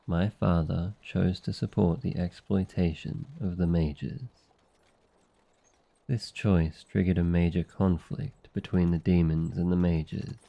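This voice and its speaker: A man playing a gentle, devoted noble demon familiar in a boyfriend ASMR roleplay, speaking softly in a tender, earnest, unhurried way. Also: sits low, vocal fry, highs cut off, exaggerated voice.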